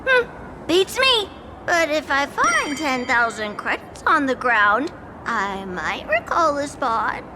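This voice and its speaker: A woman's voice: high voice, big pitch swings, takes it slowly, noisy background, exaggerated voice. A woman voicing a sly, greedy, playful little critter in a video game. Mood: sly, coy. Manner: sly, playful feigned ignorance. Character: cheeky little scamming furbo creature, greedy and playful. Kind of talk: video game character acting. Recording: loud music behind the voice.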